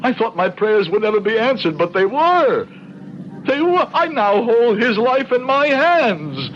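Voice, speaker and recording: Proud, grieving avenger in radio melodrama; emphatic, declamatory delivery, muffled old recording with light hiss. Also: male voice, extremely high pitch, big pitch swings, a little slow, exaggerated voice. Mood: bitter triumph. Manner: declamatory, theatrical, repeating phrases with heavy emphasis. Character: vengeful, grieving man, proud and self-righteous. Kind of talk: old-time radio drama acting.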